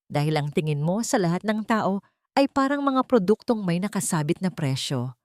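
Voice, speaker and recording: A woman with a Filipino accent voicing a proud, wounded young mother in a dramatic story, quiet but firm. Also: on the low side, big pitch swings, moderate pace, dry recording. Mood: quietly defiant.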